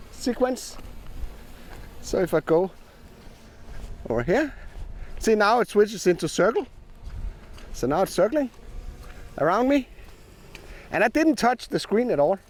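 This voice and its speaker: Lively-pitched man demoing a drone. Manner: plain step-by-step explaining with filler ums. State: sick, voice a bit rough. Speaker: drone tech reviewer. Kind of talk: hands-on product demo. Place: outdoors in the cold.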